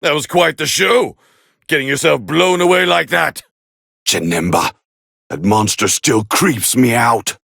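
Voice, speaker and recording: A man voicing a proud, scornful alien warrior prince as battle commentary in an anime fighting game, with a harsh, gravelly growl and a haughty, clipped delivery. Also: medium pitch, lively intonation, unhurried, fairly bright tone, dry recording, studio quality, heavily acted. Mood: grudgingly impressed, then tense, wary.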